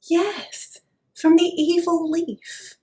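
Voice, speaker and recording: A tiny, upbeat worm chatters away in a high, eager voice, as a woman reads him aloud. He is friendly and keen, always pleased to make a friend. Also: fairly even intonation, extremely slow, reverberant, a little hiss or hum, heavily acted. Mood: cheery. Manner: bright, eager. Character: cheerful chatty little worm, speedy and friendly.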